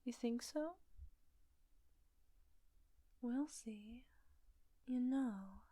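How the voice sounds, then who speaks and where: Woman playing a slow, seductive, teasing witch. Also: on the high side, mellow, dry recording. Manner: breathy, teasing, slow, commanding. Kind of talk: roleplay audio drama, intimate ASMR-like delivery.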